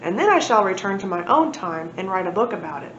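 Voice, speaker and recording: A woman's voice: medium pitch, very animated, fast, close to an everyday voice. A woman voicing a learned, courteous, self-assured female dinosaur professor from a storybook, speaking formally and with measure. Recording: echoey room, muffled, noisy.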